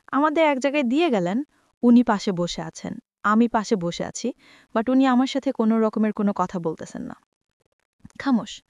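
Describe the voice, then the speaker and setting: A woman's voice: mid-range voice, dry recording. A woman with a Bangladeshi accent telling a personal life story in a conversational way, mixing in English words and voicing reported dialogue. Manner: acts out reported dialogue while narrating; mixes English words into speech. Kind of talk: personal storytelling on a radio show.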